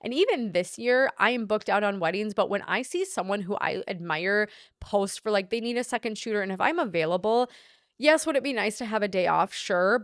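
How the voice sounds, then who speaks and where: Casual podcast talk from a blunt woman who swears easily and never hedges. She sounds like a working wedding photographer giving her strong opinions, with an everyday pitch. The sound is dry and close, with no room around her. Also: dry recording.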